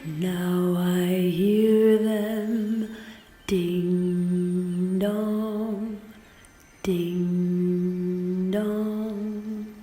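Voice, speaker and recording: Actress for ethereal spirit singer in audio drama; mournful, mellow, very drawn-out delivery in an echoey space. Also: female voice, lowish voice, level tone, faint music behind. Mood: haunting, mournful. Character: ethereal island spirit singing a haunting dirge. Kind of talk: slow sung verse in audio drama. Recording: echoey room, remote recording.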